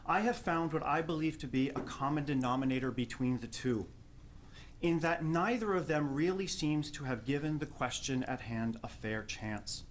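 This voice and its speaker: A somewhat high-pitched man with a New Jersey accent reads a prepared response to a live audience. His delivery is formal and articulate, with a wry edge. A faint noise sits behind the voice. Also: normal speed. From Jersey City, New Jersey, USA. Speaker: professor of theology, respondent. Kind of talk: prepared speech read to an audience. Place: live public talk before an audience.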